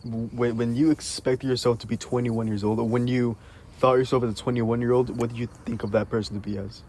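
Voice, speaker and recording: A man's voice: medium pitch, fairly quick. A twenty-one-year-old man in casual, unscripted conversation, often repeating the starts of words as he puts questions and thoughts together. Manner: stammering, repeated word starts. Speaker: conversation host asking questions. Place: outdoors in an empty parking lot. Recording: steady outdoor insect noise. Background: crickets and night insects.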